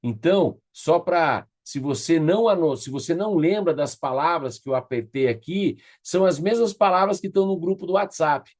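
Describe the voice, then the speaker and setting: A man's voice: fairly high pitch, animated, moderate pace, few pauses, darkish tone. A Brazilian man facilitating an online class, giving informal, directive instructions and checking in with participants.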